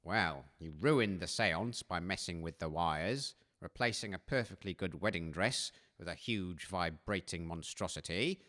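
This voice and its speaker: A man's voice: deep voice, a little slow, few pauses, fairly bright tone. A man playing a stern, vain railway master in an audio comedy, with a dry, fed-up, scolding manner.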